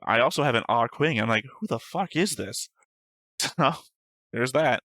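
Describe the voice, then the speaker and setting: A man's voice: mid-range voice, expressive, moderate pace, fairly bright tone, dry recording, studio quality. A man hosting a reaction show, reading notes aloud in casual chat and often correcting himself.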